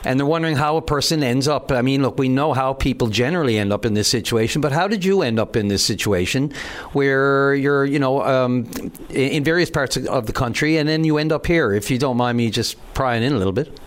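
A man's voice: mid-range voice, a little fast. A man hosting a radio call-in show, speaking with friendly, affirming interjections.

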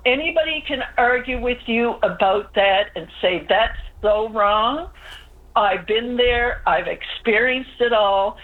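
An older woman, calling in to a radio show and pouring out her frustration in long, pleading runs. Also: mid-range voice. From Newfoundland, Canada. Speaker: caller. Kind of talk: call-in radio complaint. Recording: tinny phone line.

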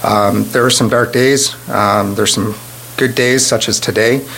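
Low-voiced police spokesman, faint hum. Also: fairly even intonation, measured pace, vocal fry, fairly bright tone, poor audio. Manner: formal, with hesitant fillers. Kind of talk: news clip statement.

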